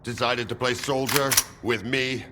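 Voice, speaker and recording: An actor playing an angry, suspicious military colonel in a video game, with a gruff, confrontational, sardonic manner. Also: male voice, mid-range voice, steady tone, unhurried, very noisy, close to an everyday voice. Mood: menacing. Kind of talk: video game cutscene acting.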